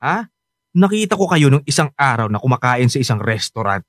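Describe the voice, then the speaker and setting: A man's voice: medium pitch, big pitch swings, fairly quick, no room sound, clean studio sound, natural voice. A man playing an insistent young lover in a radio melodrama, emotional and argumentative. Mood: defiant, jealous. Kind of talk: melodramatic radio drama acting.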